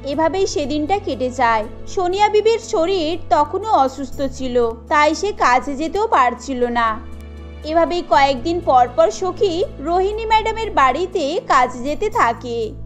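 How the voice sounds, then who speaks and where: Narrator: woman, very high voice, steady storytelling, soft music under it. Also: a little slow.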